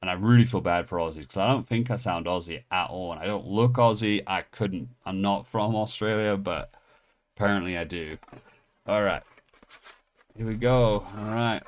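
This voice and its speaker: A deep-voiced man in his thirties with an English accent that has picked up American edges. He rambles through an anecdote, then opens card packs, cheerfully calling himself clumsy. His talk wanders, restarts and doubles back like easy chat with viewers. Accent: English, partly Americanized. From England. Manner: rambling, self-mocking, restarts often. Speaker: pack-opening channel host. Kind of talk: casual storytelling and card unboxing.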